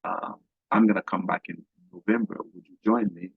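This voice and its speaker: Gravelly deep-voiced man. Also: fairly even intonation, no room sound. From Haiti. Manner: halting, many fillers and restarts. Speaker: guest, business coach. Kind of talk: interview. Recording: dull, dry lo-fi sound.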